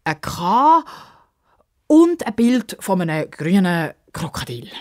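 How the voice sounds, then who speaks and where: A woman's voice: lowish voice, sing-song, pitched above the performer's own voice. A woman voicing an embarrassed, grumbling old man who stammers and hesitates in a children's story. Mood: stalling. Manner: halting, stammering, hemming and hawing.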